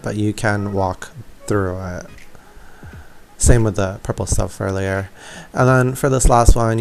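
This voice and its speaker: A man's voice: fairly low pitch, creaky. A man hosting a gaming video, giving laid-back, explanatory walkthrough commentary in a casual style.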